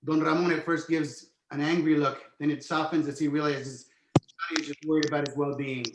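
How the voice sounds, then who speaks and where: A man's voice: fairly high pitch, little pitch movement, fairly quick. A man reading screenplay stage directions as a narrator, in an echoey room. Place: indoor room on virtual call.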